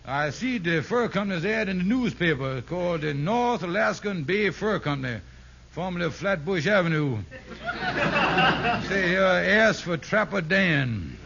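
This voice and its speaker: An actor playing a blustering, wisecracking henpecked husband with folksy grammar and a grumbling manner in a 1950s radio comedy. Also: male voice, high-pitched, lively intonation, unhurried, gravelly, heavily acted. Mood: scheming. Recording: muffled, very noisy old radio recording.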